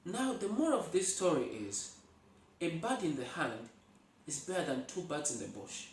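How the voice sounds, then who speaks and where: A man from Uganda with a Ugandan accent and Luganda as his native language tells folktales in an animated way, speaking directly to his listeners. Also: on the high side, moderate pace, slight background noise. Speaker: storyteller, host. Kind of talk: oral folktale storytelling. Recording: echoey room, far from mic.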